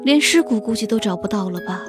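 A woman playing an ageless fox spirit in an audio drama, aloof and wistful, gently teasing with hidden fondness. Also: mid-range voice, animated, quick pace, over music, lightly acted.

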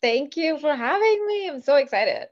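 A woman in her thirties from Kuwait, chatting lively as a podcast guest. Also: high voice, dull audio. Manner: lively, animated, quick asides. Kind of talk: casual interview chat.

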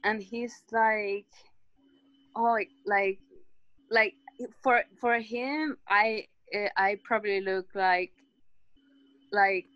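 A woman's voice: medium pitch, steady tone, very slow, long pauses, dry recording, muffled recording. Female discussion participant, conversational storyteller, wry when acting out quotes, very dry close recording. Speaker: participant sharing personal experiences in a group discussion.

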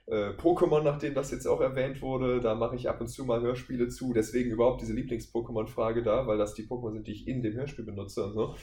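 A man's voice: mid-range voice, steady tone, quick pace, few pauses, mellow. A man speaking casually as a podcast guest, giving hesitant, meandering answers. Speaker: guest, voice actor and audio drama maker. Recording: roomy, distant mic, faint noise.

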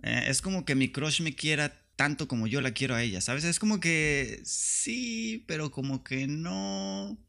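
A man's voice: on the high side, animated, measured pace, creaky, few pauses, bright, forceful tone. A man with a northern Mexican accent delivering a comedic podcast rant in a slangy, profane, sarcastic manner. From Tijuana, Mexico. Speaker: podcast host.

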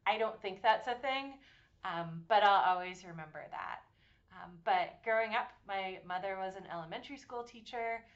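A woman in her forties from Massachusetts, co-hosting a podcast and telling her story with earnest, warm detail and frequent ums. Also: medium pitch, normal speed, lo-fi sound. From Massachusetts, USA. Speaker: podcast co-host, university program director. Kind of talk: casual podcast chat.

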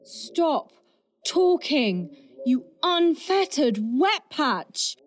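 A woman's voice: high-pitched, very animated, drawn-out words, exaggerated voice. A woman playing an acid-tongued, impatient political staffer in a comedy drama. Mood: scathing. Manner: cutting, sarcastic, impatient. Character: acid-tongued, foul-mouthed female political staffer, scornful. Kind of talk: fast comedy audio drama dialogue.

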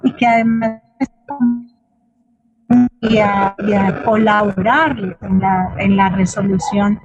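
A middle-aged Colombian woman speaking as an interviewed theatre teacher, explaining reflectively and haltingly, with frequent little checking questions. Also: medium pitch, fairly even intonation, dull audio, music in the background. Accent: Colombian Spanish. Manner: explanatory, hesitant, often adds checking tag questions. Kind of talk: reflective spoken answer in an online forum.

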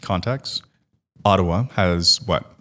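A man's voice: low voice, takes it slowly, a bit bright, big-room sound. A man who grew up in Guyana and Trinidad, easygoing and chatty as an interview guest, often checking in with "right?". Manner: easygoing storyteller, lots of "right?" and "you know". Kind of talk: casual interview, life story.

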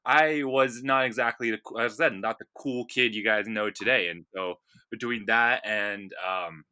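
A young man from India hosts a casual podcast, loose and rambling, with plenty of ums and likes. His voice has a strikingly bright, forward ring. The sound is very dry and close to the mic, with no room in it. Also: fairly low pitch, normal speed, clean tone, no echo. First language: Punjabi. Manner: relaxed, rambling with many fillers. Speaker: podcast host.

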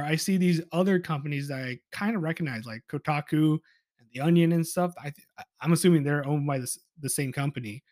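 A man with a notably high voice hosts a solo podcast. He talks casually and informally, giving exasperated opinion commentary. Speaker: podcast host.

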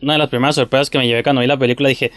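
A man's voice: mid-range voice, steady tone, racing, fairly bright tone, no room sound. Chatty male interviewer. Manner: chatty, rambling, slangy. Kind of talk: casual interview talk.

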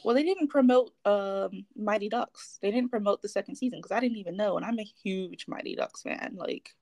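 A woman's voice: mid-range voice, normal speed, creaky, mellow, dry recording. A woman co-hosting a casual talk podcast, sounding exasperated and often trailing off. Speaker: podcast co-host. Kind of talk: casual chat.

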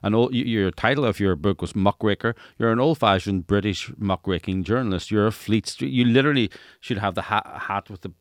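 A man's voice: fairly low pitch, fairly quick, few pauses, dry recording, studio quality. A middle-aged man, chatting as an interviewer in a rambling, anecdotal way. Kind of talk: casual conversational interview.

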